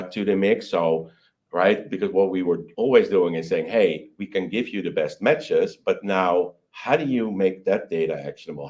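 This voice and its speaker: Deep-voiced man, hesitant but keen. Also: darkish tone, muffled recording. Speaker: company chief executive being interviewed. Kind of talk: conversational interview answers.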